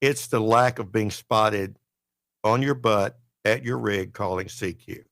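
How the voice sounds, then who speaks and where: Creaky-voiced man, low and close. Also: takes it slowly, a bit bright, no echo. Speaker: podcast panelist. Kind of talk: panel discussion commentary.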